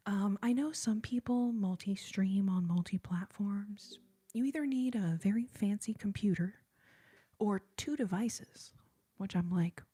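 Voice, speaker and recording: A woman chatting casually to livestream viewers in a soft, breathy, whispery ASMR voice, deliberately gentle and restrained. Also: mid-range voice. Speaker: live streamer and singer taking requests.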